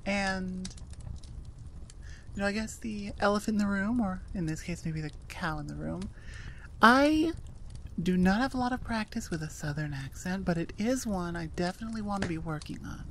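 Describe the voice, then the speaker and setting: A woman's voice: medium pitch, moderate pace, slight background noise. An American woman talking casually and chattily to her listeners, joking about herself. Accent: General American. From United States. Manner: chatty, self-deprecating, laughing at herself. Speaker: ASMR voice actor. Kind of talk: casual outro chat.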